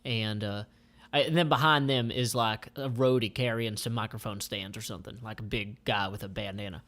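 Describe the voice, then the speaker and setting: A man informally describing comic panels aloud as their creator, with halting, improvised phrasing. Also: fairly low pitch, steady tone, a bit bright.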